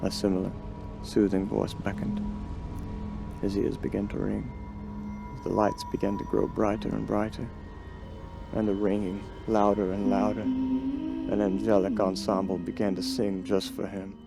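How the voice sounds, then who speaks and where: A male storyteller narrates a sinister tale in a low, creaky voice. His delivery is ominous and theatrical yet stays in a narrow pitch range, with music underneath. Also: completely flat delivery, unhurried, long pauses. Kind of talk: dramatic scripted horror narration.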